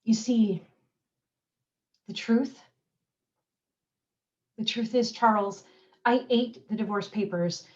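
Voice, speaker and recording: A woman acting out a stage monologue as a desperate wife clinging to her husband, with deadpan wit and nervous laughs. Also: fairly high pitch, slow, long pauses, muffled recording. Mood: bittersweet. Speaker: community theater actress.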